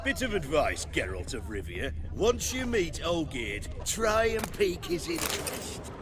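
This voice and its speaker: A hearty, rustic henchman from a bandit band in a video game. The voice is high and slow, with big swoops in pitch and a folksy, boisterous manner. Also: background noise. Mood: sly, advising. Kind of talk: video game character acting. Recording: lively crowd behind the voice.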